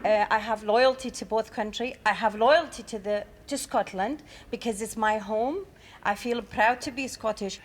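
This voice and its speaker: A woman's voice: on the high side, moderate pace. A middle-aged woman speaking up in an audience discussion. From Iraq. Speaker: community society representative.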